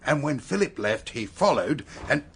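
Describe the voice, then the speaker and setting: An actor in a radio drama playing a deliberate, sceptical detective who reasons and questions. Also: male voice, medium pitch, sing-song, a bit bright, a little hiss or hum. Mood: assured. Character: deliberate, probing male detective reasoning out a murder.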